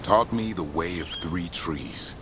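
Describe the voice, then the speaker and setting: An actor playing a proud, adventurous elf monster hunter in a video game, talking in short, clipped sentences. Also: male voice, low voice, slow, noisy background. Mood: earnest, resolute. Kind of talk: video game dialogue acting.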